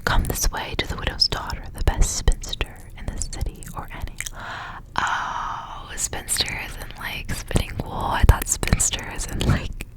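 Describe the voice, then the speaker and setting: A woman's voice: unhurried, rough recording. Whispering woman, ASMR story reader. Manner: whispers throughout, unvoiced and hushed. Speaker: ASMR reader, host of the channel. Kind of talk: ASMR whispered story reading with casual asides.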